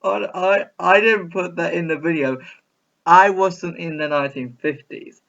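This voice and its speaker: A man's voice: on the high side, measured pace, creaky, fairly bright tone, lo-fi sound. A man giving casual, rambling video commentary in a chatty, repetitive, mock-baffled way. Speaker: reaction video host.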